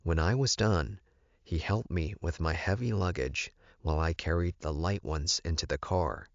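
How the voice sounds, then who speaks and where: A man's voice: sits low, normal speed, no room sound, lo-fi sound. A man narrating a first-person story as a scripted audiobook.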